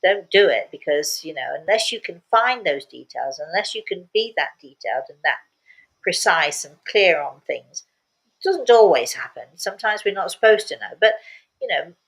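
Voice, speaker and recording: A woman's voice: on the low side, expressive, normal speed, poor audio. Older woman, emphatic casual storyteller. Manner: emphatic, repeats words for emphasis. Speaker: spiritual medium telling stories and answering questions.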